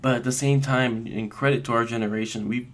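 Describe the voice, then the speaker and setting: A man's voice: medium pitch, steady tone, a little fast. A man in his early twenties, from Las Vegas, hosting his own podcast and talking through his views in an earnest, rambling way with many fillers and restarts. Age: 20s. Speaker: podcast host. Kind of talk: casual solo podcast monologue.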